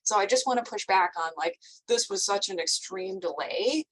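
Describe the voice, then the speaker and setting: A woman's voice: medium pitch, expressive, normal speed, poor audio. A woman on staff defending her team's response times in an online meeting, with lots of fillers. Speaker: station staff member. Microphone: video call.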